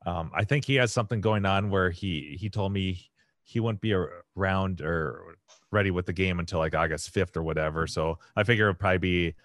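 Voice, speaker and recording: A man with a hoarse, raspy voice, hosting a podcast and telling a long casual story full of fillers and restarts. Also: low-pitched, fairly quick, a bit bright, no echo, studio quality. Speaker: podcast host. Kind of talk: casual chat.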